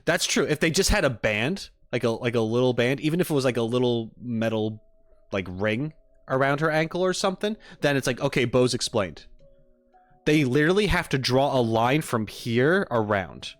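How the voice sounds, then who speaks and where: A man chatting informally on a reaction stream, explaining things and thinking out loud. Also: mid-range voice, animated, normal speed, no echo, faint music behind. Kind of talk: casual reaction stream chat.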